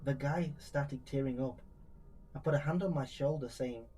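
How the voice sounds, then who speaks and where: A man's voice: mid-range voice, moderate pace, mellow, a little hiss or hum. A man narrating creepy first-person true stories from a script, as a true crime storyteller.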